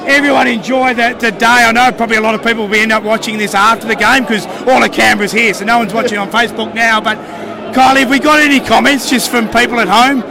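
An Australian man hosting live fan interviews outdoors, enthusiastic and rambling, his voice croaky. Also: extremely high pitch, steady tone, fast. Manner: enthusiastic, rambling, repeats words. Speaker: fan show host. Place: outdoors at a crowded pre-game bar. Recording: loud crowd and music behind. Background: crowd noise and music.

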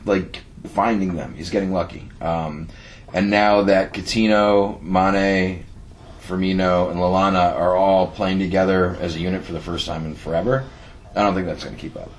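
A man's voice: sits low, steady tone, normal speed, slight background noise. A man co-hosting a casual fantasy football podcast, playful and teasing, laughing easily. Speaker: podcast co-host.

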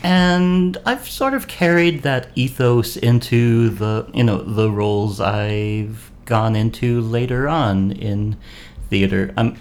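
Casting: unhurried man from Maine, rambling podcast chat, mid-pitched voice. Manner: unhurried, rambling with fillers and laughs. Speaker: podcast guest host. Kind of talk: casual chat.

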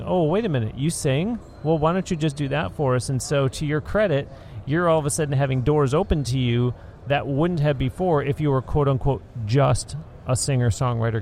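A man's voice: mid-range voice, normal speed, darkish tone, a little hiss or hum. A man hosting a podcast interview, talkative and explanatory in long run-on sentences, recorded on location into a handheld recorder. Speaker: podcast host. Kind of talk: interview conversation. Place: on location, indoors. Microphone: studio mic into handheld recorder.

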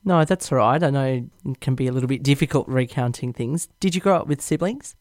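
Smooth-voiced male podcast host. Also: mid-range voice, fairly quick, no room sound. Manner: gentle, supportive questioning. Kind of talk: scripted intro then interview questions.

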